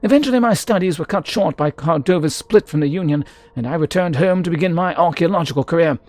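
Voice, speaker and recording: A proud fantasy-game mercenary played by a man, pitched fairly high. He is a scholar turned sellsword who reminisces in florid, formal phrases with theatrical flair. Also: quick pace, darkish tone, natural voice. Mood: wistful, proud. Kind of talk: character voice in a fantasy game read-through.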